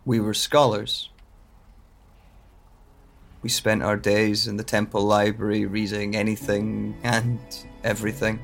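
Guy acting a guilt-ridden scholar who lost his memory, audio drama, really slow n halting, gravelly fry in the voice. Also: fairly low pitch, fairly bright tone, heavily acted. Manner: halting, deliberate short phrases. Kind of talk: dramatic first-person audio drama monologue.

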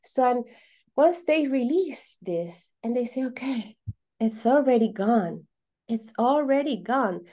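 A woman with a fairly high voice and a Venezuelan Spanish accent talks as a guest coach in an interview. She explains emphatically in halting second-language English, often doubling back on words as she builds her point. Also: animated, dark tone. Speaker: podcast guest, holistic coach.

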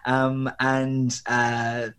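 A young man with a faint Northern Irish accent, speaking as an interview guest. He talks in a reflective, meandering way, with frequent ums and restarts. His voice sits at an ordinary middle pitch. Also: monotone, drawn-out words, a bit bright, clean tone. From Northern Ireland. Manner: reflective, rambling with fillers and restarts. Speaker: guest, theatre director. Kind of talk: conversational interview.

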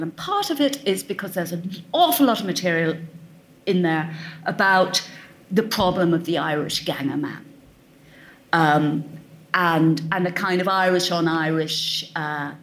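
A woman's voice: fairly low pitch, fairly bright tone. A woman author answering audience questions at a live talk, thoughtful and wry, often correcting herself as she goes. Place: event hall with audience.

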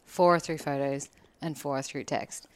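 A woman's voice: sits low, fairly even intonation, moderate pace, no echo. A young woman on a podcast, chatting casually in a blunt, opinionated way and swearing freely. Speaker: podcast guest. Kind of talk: casual chat.

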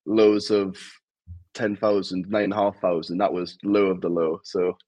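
A man's voice: sits low, little pitch movement, normal speed, darkish tone, dry recording. A man with a Geordie accent, co-hosting a casual football podcast, frank and self-deprecating. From Newcastle, England. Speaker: podcast co-host.

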